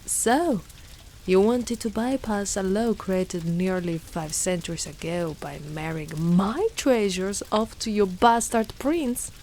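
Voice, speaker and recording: A woman's voice: mid-range voice, slow, darkish tone, a little hiss or hum, natural voice, rough recording. A woman playing a devoted, fierce dragon knight in a dramatic ASMR roleplay, speaking in formal, archaic phrases with theatrical weight. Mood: menacing, commanding.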